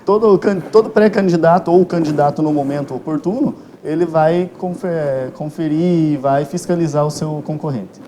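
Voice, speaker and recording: A man's voice: a little high, normal speed, few pauses, warm tone. A Brazilian man giving formal, explanatory answers as an expert interview guest, with precise, legalistic wording. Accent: Brazilian Portuguese. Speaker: interview guest, electoral lawyer. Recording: clear ambient background noise.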